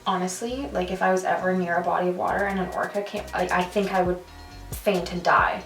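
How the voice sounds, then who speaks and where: Chatty young Canadian woman, low voice. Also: fairly quick. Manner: chatty, animated, laughs easily. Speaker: vlogger. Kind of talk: casual chat. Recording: roomy, from a distance, music behind.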